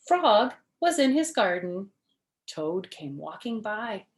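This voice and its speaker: Slow-paced woman storyteller for children. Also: medium pitch, big pitch swings. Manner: addresses young listeners with questions and simple explanations. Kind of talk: expressive read-aloud with chatty asides to children.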